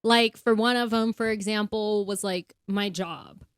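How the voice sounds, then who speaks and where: A young woman in her twenties co-hosting a podcast, talking candidly and quickly with lots of fillers. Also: mid-range voice, steady tone, smooth, no room sound, studio quality. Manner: candid, talks fast with many "like" fillers. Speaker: podcast co-host. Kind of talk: casual chat.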